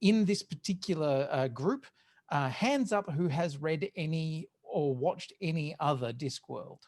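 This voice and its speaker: A man co-hosting a podcast in casual discussion, explaining things in a halting, qualifying way. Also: fairly high pitch, expressive, a little mellow. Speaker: podcast co-host.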